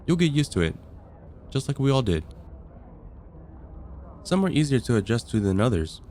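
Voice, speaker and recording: A man playing a friendly, patient vampire caseworker in an ASMR roleplay, explaining things calmly with gentle dry wit. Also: medium pitch, normal speed, a little hiss or hum, natural voice. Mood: amused, reassuring. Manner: calm, explanatory, gently wry. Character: friendly, patient vampire caseworker onboarding a newcomer, dryly amused. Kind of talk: ASMR roleplay, conversational acting.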